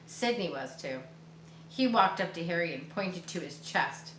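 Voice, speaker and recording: A woman reading a children's story aloud expressively, pausing with chatty explanations for young listeners. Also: on the low side, lively intonation, fairly bright tone. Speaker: storybook reader. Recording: roomy, distant mic, faint background noise.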